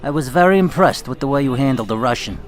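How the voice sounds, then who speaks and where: A man's voice: mid-range voice, animated, fast, natural voice. A shrewd, proud Italian-American mafia boss acted by a man holds court in a radio crime drama. He talks with wry, streetwise ease, and music plays prominently behind him. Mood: genial, probing. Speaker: voice actor.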